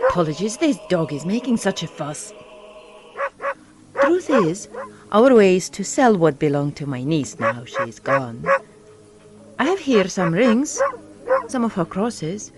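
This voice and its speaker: A woman acting in a gothic radio drama as an ingratiating, ominous mourning peddler with halting, old-world phrasing. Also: on the low side, big pitch swings, over music. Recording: loud barking dog behind the voice.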